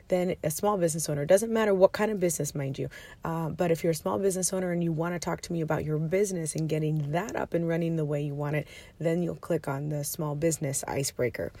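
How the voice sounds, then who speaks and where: A hoarse woman in her thirties hosts a solo money podcast from her parked minivan. Her voice sits a little low and sounds close and dry, with no room echo. She talks upbeat and chatty, with fillers and restarts. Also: dark tone. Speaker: podcast host and money coach.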